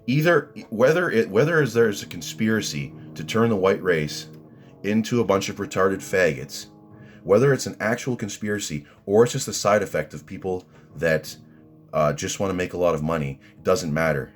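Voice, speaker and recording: A man with a deep voice, ranting at his critics in rambling, combative commentary full of restarts and fillers. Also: lively intonation, normal speed, faint music behind. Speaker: vlogger answering critics.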